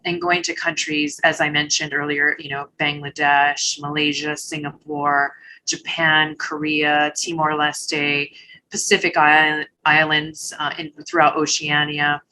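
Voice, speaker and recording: A middle-aged woman from Hawaii, a retired army general, answering interview questions in a thoughtful, measured way with small restarts. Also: low voice, level tone, bright, forceful tone, slight background noise, rough recording.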